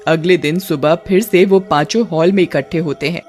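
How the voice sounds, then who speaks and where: A woman's voice: lowish voice, normal speed, a little mellow, soft background music. A woman narrating a scripted horror cartoon story as its storyteller.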